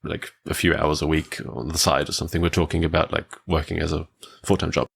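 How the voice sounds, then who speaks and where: A man's voice: very deep voice, little pitch movement, brisk, gravelly, husky. A man hosting a podcast interview, asking questions and sharing his own story, with many fillers and hesitations. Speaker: podcast host. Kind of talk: casual interview talk.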